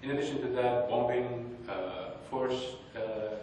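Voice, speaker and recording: A young man giving an academic presentation, speaking hesitantly with many pauses and restarts. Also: medium pitch, darkish tone, slight background noise. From Kurdistan, northern Iraq. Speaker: conference speaker. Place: large conference hall. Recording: echoey hall, distant mic.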